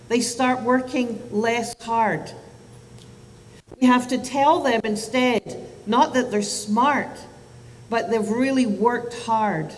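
A Scottish woman in her sixties, a physician giving a public talk to parents at a lectern microphone, animated and asking the audience questions. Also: high-pitched, a little hiss or hum. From Scotland. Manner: animated, gestures with both hands, asks the audience questions. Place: lecture hall at a lectern. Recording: roomy hall sound from a distance.

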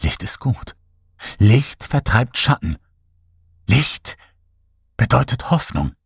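Male horror-audiobook reader; husky, fairly low, measured and dramatic, dry close recording. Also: big pitch swings, no room sound. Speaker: horror story narrator. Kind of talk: scripted audiobook narration.

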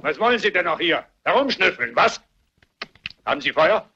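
A man's voice: high-pitched, lively intonation, moderate pace, highs cut off, background noise. An older actor playing a cantankerous, miserly grandfather who grumbles and barks sarcastically in a radio play. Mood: sour, suspicious. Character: cantankerous, miserly old grandfather, suspicious invalid tyrant. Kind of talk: German radio play acting.

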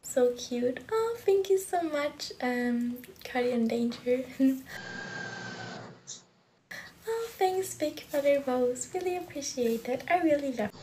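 A woman's voice: high voice, expressive, unhurried, hardly any pauses, background noise. A young woman from California hosting a live stream from a phone in a small room, greeting viewers by name and thanking them with frequent giggles. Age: young adult. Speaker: livestream host. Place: small indoor room, in front of a curtain. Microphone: phone camera at close range.

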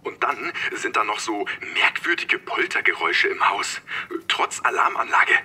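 An actor playing a detective in an audio drama, heard through a phone or radio filter. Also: male voice, on the low side, big pitch swings, moderate pace, bright, forceful tone, breathy edge, full character voice. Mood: concerned. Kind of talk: German audio drama acting.

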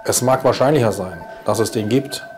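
A man's voice: lowish voice, normal speed, darkish tone, soft background music. A man in his fifties speaking as a prosecutor in a formal interview. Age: 50s. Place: indoor office.

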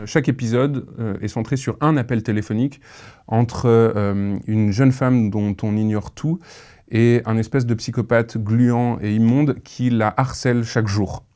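A man in his thirties speaking reflectively in an interview, halting and thoughtful, on a lapel mic. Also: mid-range voice, fairly even intonation, dark tone. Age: 30s. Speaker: interviewee, audio fiction creator.

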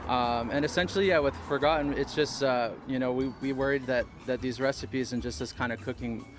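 A man in his thirties explaining his bakery in an easygoing way, with fillers. He speaks into a reporter's handheld mic outdoors. A music bed is clearly audible underneath. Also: mid-range voice, fairly even intonation, a little fast. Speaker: bakery co-owner. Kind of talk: live TV interview.

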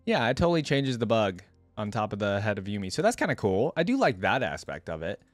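A young man hosts a casual reaction video in a chatty, opinionated way. His pitch moves up and down freely in an animated delivery. The sound is dry and close, as from a desk microphone. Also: fast, no echo, soft background music. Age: young adult. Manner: chatty, opinionated, thinks aloud. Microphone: close desktop microphone, headset on.

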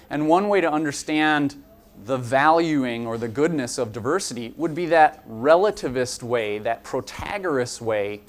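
A young male college instructor lectures in an earnest, explanatory way, moving his hands as he talks. His voice sits at an ordinary middle pitch for a man. It is captured from across a conference room. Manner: earnest, explanatory, gesturing while talking. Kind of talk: lecture to students.

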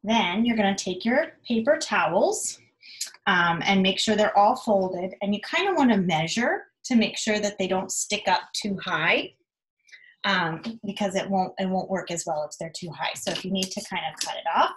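A woman's voice: mid-range voice, lively intonation, normal speed, poor audio. A woman in her thirties, a teacher explaining an activity to young children in simple, direct instructions at home. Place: small indoor rooms at home, living room and kitchen. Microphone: device camera at about arm's length or a little further.

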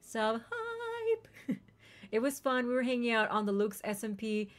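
A woman streamer whose first language is not English, chatting excitedly with raiders and telling stories quickly. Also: on the high side, soft background music. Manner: excitable, bubbly, quick storytelling. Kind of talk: casual stream chat.

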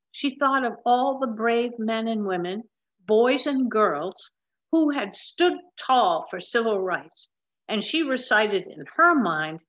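A woman in her seventies reading a picture book aloud in a deliberate way, with emphatic pauses. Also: mid-range voice, a bit bright, lo-fi sound. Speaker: storybook reader. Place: large room at home with wooden ceiling, indoors.

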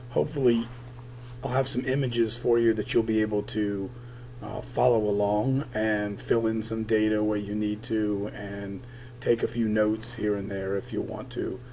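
Raspy-voiced man teaching a recorded lecture. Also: fairly low pitch, dark tone, a little hiss or hum. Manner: halting, many fillers, explanatory. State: raspy, recovering voice. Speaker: political science teacher.

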